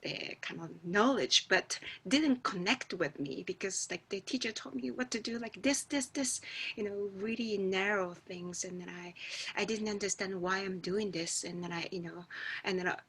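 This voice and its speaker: A middle-aged Japanese woman whose first language is Japanese, telling her story in halting English on a video call as a guest musician. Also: medium pitch, fairly bright tone, slightly rough. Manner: halting, searching for words in a second language. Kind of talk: reflective personal storytelling.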